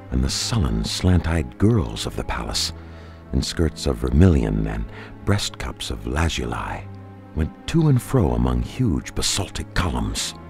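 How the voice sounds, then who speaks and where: A male audiobook narrator with an extremely deep voice and a gravelly creak. He reads dark fantasy solemnly and deliberately, with lively swings in intonation, over faint music. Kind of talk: scripted dramatic narration of dark fantasy fiction.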